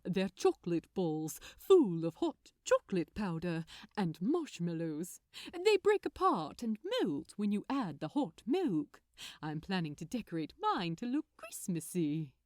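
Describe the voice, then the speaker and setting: A woman's voice: on the low side, sing-song, unhurried, dry recording, studio quality. A woman voicing a polite, affectionate, genteel man who loves wrapping gifts, in a storybook reading. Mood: eager, pleased. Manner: prim, bright, polite, affectionate.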